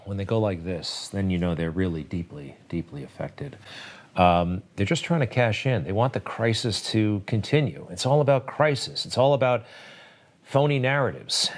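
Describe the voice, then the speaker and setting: A man giving opinionated commentary on news clips, speaking as a commentator. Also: fairly low pitch.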